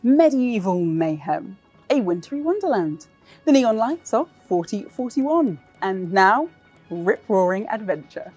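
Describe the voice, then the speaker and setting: Enthusiastic woman presenter with a husky voice. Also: medium pitch, darkish tone. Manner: enthusiastic, gushing. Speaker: presenter and interviewer. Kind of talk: upbeat scripted links and interview chat.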